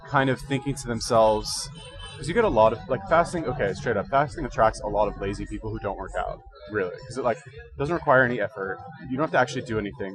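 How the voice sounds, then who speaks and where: A man with a slightly congested voice, hosting a casual livestream and rambling through fitness advice in slangy, informal talk. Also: lowish voice. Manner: slangy, informal, thinking aloud. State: recently woken up. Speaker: livestream host giving fitness and diet advice.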